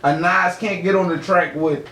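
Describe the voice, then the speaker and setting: Male podcast guest, slightly high voice, slangy and insistent explainer, roomy distant mic. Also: steady tone, normal speed, pushed and bright. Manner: slangy, emphatic explaining, repeatedly checks listeners follow. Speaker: podcast guest, singer and rapper. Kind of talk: casual podcast debate. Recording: echoey, distant mic, faint room noise.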